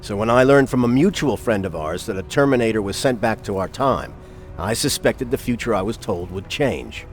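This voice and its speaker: An actor playing a solemn, resolute resistance commander in a video game, speaking in a measured, commanding way. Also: male voice, lowish voice, animated, faint music behind. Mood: solemn, explaining. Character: grave, resolute male human resistance commander. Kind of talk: dramatic video game acting.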